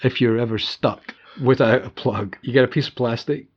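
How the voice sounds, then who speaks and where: A man's voice: mid-range voice, fairly even intonation, lo-fi sound. A man hosting a podcast, chatting casually and laughing easily as he draws out his guest. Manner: chatty, quick to laugh, many short backchannels. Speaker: podcast host. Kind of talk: casual interview chat.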